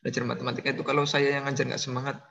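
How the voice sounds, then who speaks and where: A man's voice: medium pitch, little pitch movement, very fast, gravelly, sharp tone, lo-fi sound. A man giving an online math lecture, teasing his students and joking in mock regional accents. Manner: playful, teasing, jokes with students. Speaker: university lecturer.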